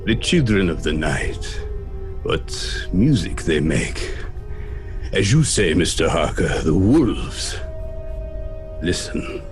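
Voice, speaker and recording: An actor playing an ancient aristocratic vampire count in a horror audio drama, formal and ominously polite. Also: male voice, sits low, big pitch swings, slow pace, pauses often, full character voice. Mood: ominously courteous. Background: music.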